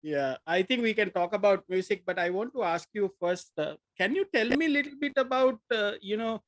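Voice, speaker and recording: A middle-aged man hosts a podcast from a room at home, talking into a desk microphone over a video call. His voice sits high for a man, and the sound is close and dry. He asks his questions haltingly, with plenty of fillers. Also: lively intonation, a bit bright, dry recording. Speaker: podcast host. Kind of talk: casual video-call interview. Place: home room with posters behind.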